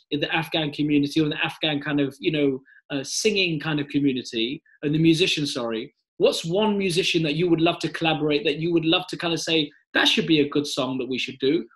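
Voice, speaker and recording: A man's voice: a little high, a bit bright. A man interviewing a singer, asking long rambling questions padded with filler phrases. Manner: rambling questions, frequent et cetera.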